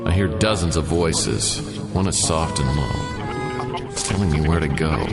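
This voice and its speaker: An actor playing a wisecracking hard-boiled detective who narrates in a dry, sardonic noir style. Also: male voice, low voice, animated, a little slow. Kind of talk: noir radio drama narration. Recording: reverberant with music behind.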